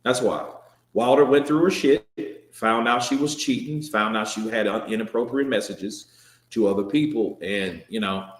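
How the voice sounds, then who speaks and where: A man's voice: fairly low pitch, normal speed. A man hosting a live boxing stream, reading viewer comments and answering them in loose, joking talk that swears now and then and trails off into restarts. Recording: roomy echo.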